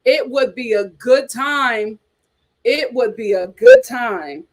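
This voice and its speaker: High-voiced, animated woman host chatting. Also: takes it slowly, vocal fry. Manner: animated, gossipy, opinionated, blunt; repeats phrases for emphasis. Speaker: host of a reality TV chat show. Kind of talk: casual live chat commentary, reacting to viewer comments.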